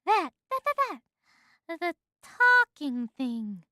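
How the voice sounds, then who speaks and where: Woman acting a very high, startled young cartoon woman. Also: big pitch swings, pushed and bright, no room sound, studio quality, heavily acted. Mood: astonished. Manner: halting, disbelieving.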